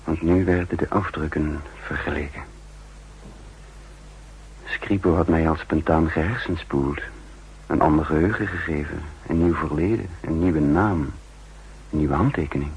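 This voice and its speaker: An actor playing an intense, guilt-ridden double agent who narrates his own downfall in a 1960s Dutch radio drama, with a husky voice and deliberate dramatic delivery. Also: very deep voice, a little mellow, heavily acted, rough recording. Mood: tense, resigned. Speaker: lead actor and first-person narrator.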